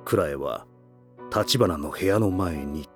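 Deep, slow drama narrator. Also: male voice, faint music behind. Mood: solemn. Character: solemn male narrator of a drama.

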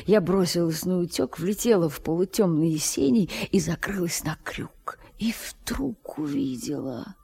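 A woman's voice: lowish voice, lively intonation, unhurried, gravelly, hardly any pauses, warm tone, breathy edge, full character voice. A woman narrating a dramatized radio story, recalling childhood adventures as the storyteller. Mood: storytelling. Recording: music behind the voice.

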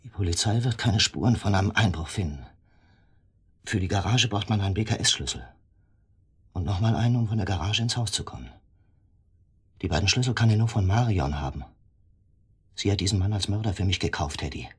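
An actor playing a grimly lucid, bitter captive man in a radio drama, with a breathy, husky voice. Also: fairly low pitch, lively intonation, fairly quick, long pauses. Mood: grimly reasoning. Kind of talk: German radio drama acting.

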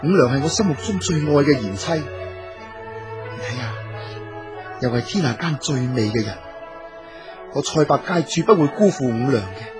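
An actor playing an earnest, devoted young scholar in a Cantonese radio drama, speaking formally and courteously. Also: male voice, medium pitch, very animated, normal speed, long pauses, over music, natural voice. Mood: tender, reassuring. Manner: formal, courteous, literary phrasing. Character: earnest, devoted young scholar, loving husband and dutiful son.

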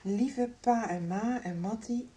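A woman's voice: on the high side, slow pace, dark tone, a little hiss or hum. An actress in a Dutch radio soap playing a distraught, exasperated mother whose son has run away. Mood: distressed. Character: distraught mother of a runaway son, impatient with husband.

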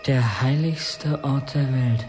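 A young actor in a radio play playing an earnest, searching youth, pensive, halting and intense. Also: male voice, medium pitch, fairly even intonation, noisy background, lightly acted. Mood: awed, resolute.

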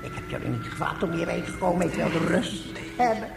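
A grumbling old hermit guide in a radio play, with a creaky voice that swings widely in pitch. The talk is weary, rambling and self-pitying. The recording is echoey and noisy. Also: female voice, expressive, fairly quick. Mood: bitter ranting. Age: older. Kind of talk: radio drama acting.

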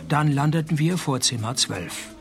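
A man playing a wisecracking, cocky private detective in a radio play, speaking with dry, deadpan irony. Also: a little high, normal speed, vocal fry, faint music behind. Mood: deadpan narrating. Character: wisecracking, cocky male private detective posing as night porter. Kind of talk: radio play dialogue and first-person detective narration.

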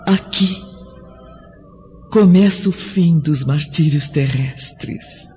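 An actress voicing the radiant spirit of a gentle Roman woman, welcoming her beloved in a tender, solemn tone, with an ethereal reverb on her voice. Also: low-pitched, drawn-out words. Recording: reverberant, muffled, music behind.

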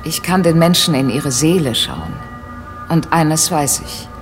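An actor in an audio drama playing an ominous seer, speaking in a slow, portentous, dramatic way. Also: mid-range voice, soft background music, natural voice. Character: ominous seer claiming to look into souls. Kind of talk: German audio drama acting.

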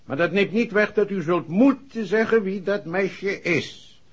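High-voiced, courteous police chief. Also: male voice, husky. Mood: courteous, firm. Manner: formal, measured diplomacy. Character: senior police chief, courteous but firm. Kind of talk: 1960s Dutch radio play acting. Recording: dull, muffled vintage radio recording.

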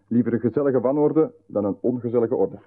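An actor playing an intrusive, oddly demanding male visitor, calm and coolly insistent. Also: mid-range voice, a little fast, close to an everyday voice. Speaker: radio drama actor. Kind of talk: 1990s radio play acting. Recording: tinny narrow-band radio sound.

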